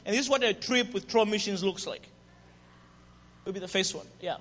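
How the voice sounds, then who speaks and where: A visiting pastor with a Zambian accent preaches to a church with lively, friendly energy. His voice sits high for a man and rings through a reverberant hall. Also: normal speed, slight background noise. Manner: lively and friendly, with call-and-response and laughter. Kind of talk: guest sermon and ministry testimony. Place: church sanctuary.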